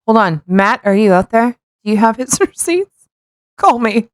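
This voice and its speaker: A woman's voice: on the high side, very animated, normal speed, no room sound, clean studio sound. A woman co-hosting a podcast, reading aloud mockingly with playful, casual banter and a tendency to stumble over words. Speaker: podcast co-host.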